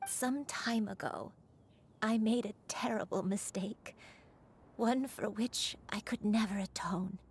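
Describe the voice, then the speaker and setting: A woman voicing a gentle, guilt-burdened old grandmother in a video game, speaking tenderly and formally like a storyteller. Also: mid-range voice, takes it slowly, slightly rough. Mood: solemn, resolved. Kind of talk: dramatic video game voice acting.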